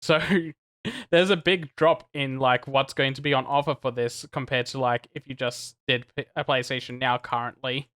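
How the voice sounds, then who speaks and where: A man co-hosting a casual gaming podcast, animated and chatty, laughing between points and restarting sentences often. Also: mid-range voice, moderate pace. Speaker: podcast co-host.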